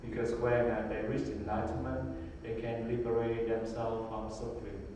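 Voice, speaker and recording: A Buddhist monk with a Vietnamese accent who answers interview questions in simple English, pausing often and filling gaps with hesitations. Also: male voice, mid-range voice, level tone. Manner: halting, many pauses and fillers, simple English. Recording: echoey hall, far from the mic, faint noise.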